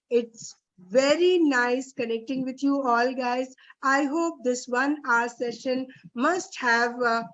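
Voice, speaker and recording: High-voiced Indian woman trainer. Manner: encouraging, emphatic with repeated phrases. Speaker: guest trainer leading an online teacher workshop. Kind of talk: informal motivational closing talk to trainees. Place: online video session. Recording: dull, lo-fi online call audio.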